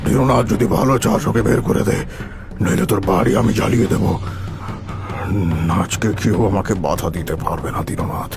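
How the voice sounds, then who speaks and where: An actor playing a vengeful older village landlord and patriarch in a melodramatic cartoon, with a gravelly voice. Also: male voice, fairly low pitch, normal speed, over music, lightly acted. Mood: furious, threatening. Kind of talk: melodramatic Bengali cartoon acting.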